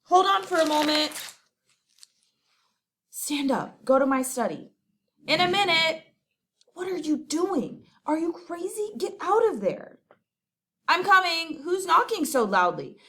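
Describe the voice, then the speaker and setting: A woman's voice: mid-range voice, animated, a little slow, a bit bright. A woman voicing a stern, fatherly older male friar who scolds and advises in a scripted audio drama. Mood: urgent. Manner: scolding, urgent, lecturing. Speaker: voice actor.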